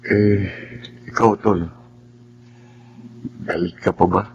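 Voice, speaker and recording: A man's voice: sits low, flat delivery, slow, muffled recording, slight background noise. A man acting as a wronged, peaceable young man in a Filipino radio drama, earnest and pleading, restrained but firm. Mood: subdued, sincere. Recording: loud music behind the dialogue.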